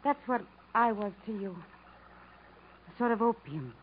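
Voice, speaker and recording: A woman's voice: medium pitch, expressive, a little slow, close to an everyday voice. A muffled, slightly noisy vintage broadcast carries an actress as a wounded woman with a battered past. Her mid-range voice is plaintive and searching, phrased with the earnest drama of 1950s radio theatre. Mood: wounded, resigned. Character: wistful, wounded woman with a battered past, yearning for self-respect.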